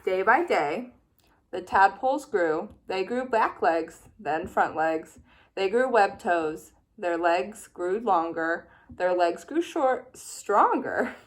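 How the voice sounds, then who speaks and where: A woman teacher reading a children's picture book aloud with expressive, playful storytelling. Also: mid-range voice, takes it slowly.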